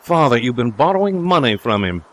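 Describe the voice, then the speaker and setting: A man's voice: mid-range voice, sing-song, a little fast, voice pushed up, lightly acted. A man voicing a proud, direct young woman who speaks emotionally to her father in a storybook reading. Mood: blunt, accusing. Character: proud, blunt young Englishwoman confronting her father, distressed.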